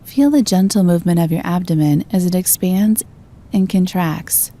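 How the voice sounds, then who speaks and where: A woman gently guiding a meditation, giving slow, soothing instructions. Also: fairly low pitch. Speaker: meditation guide. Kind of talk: guided meditation.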